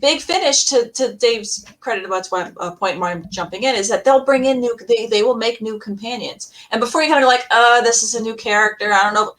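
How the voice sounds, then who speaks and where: Female fan panelist, moderately high pitch, enthusiastic conversational delivery. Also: brisk, few pauses, fairly bright tone, rough recording. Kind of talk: casual chat with interjections.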